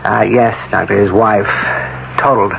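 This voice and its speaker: Dull old radio recording with faint noise, brisk hospital doctor, colloquial, matter-of-fact, medium pitch. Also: male voice, fairly even intonation, creaky. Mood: grim, matter-of-fact. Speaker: actor in radio drama. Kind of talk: 1970s radio drama acting.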